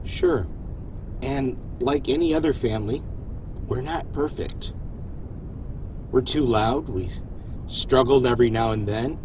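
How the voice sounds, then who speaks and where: A man's voice: mid-range voice, measured pace, long pauses, noisy background, close to an everyday voice. A man playing a supportive, self-deprecating starship captain in an audio drama, earnest and folksy. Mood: reassuring. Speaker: voice actor.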